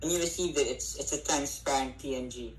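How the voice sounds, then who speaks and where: Low-voiced woman, playful and chatty. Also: fairly quick, reverberant, faint music behind, poor audio. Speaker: sibling on a call. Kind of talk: casual chat.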